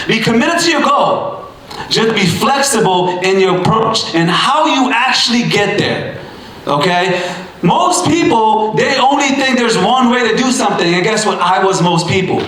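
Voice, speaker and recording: A man in his thirties with a high-pitched voice delivers a fired-up motivational speech, hammering lines home through repetition and the odd shout. The sound rings around a very echoey hall. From Bangladesh. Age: 30s. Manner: emphatic, punchy repetition, sometimes shouting. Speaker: motivational speaker. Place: event stage in a large hall.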